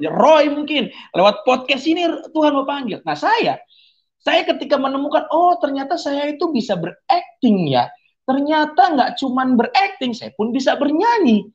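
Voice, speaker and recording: Young guy preaching on a podcast, animated, keeps asking ya kan, kinda muffled lo-fi audio. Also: very high voice, a little slow. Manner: preachy, animated, punctuates with rhetorical tags. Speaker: podcast guest giving testimony. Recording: dull lo-fi sound.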